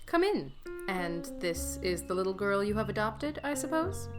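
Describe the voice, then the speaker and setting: A woman's voice: medium pitch, big pitch swings, moderate pace, music in the background, close to an everyday voice. A woman playing a cordial but strict, resolute mother and neighbour in an audiobook drama, polite, proper and composed. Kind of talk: audiobook drama character acting.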